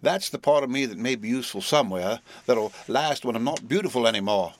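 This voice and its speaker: A man voicing an affectionate, restless young woman from the South, with a Southern US drawl and an earnest, halting manner in a storybook reading. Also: medium pitch, lightly acted. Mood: wistful.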